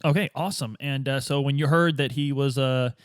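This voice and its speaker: A man hosting and playing on a tabletop roleplaying podcast, chatting casually in a halting way. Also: mid-range voice, steady tone, clean tone, no echo, studio quality. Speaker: podcast host and player.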